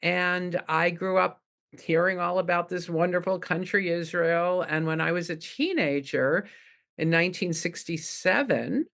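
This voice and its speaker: A woman's voice: lowish voice, a bit bright. A woman in her seventies from the New York suburbs, a rabbi telling her story in a thoughtful, wry way as a podcast guest. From United States (New York suburbs). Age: 70s. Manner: thoughtful, laughs wryly while talking. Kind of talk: reflective conversational storytelling.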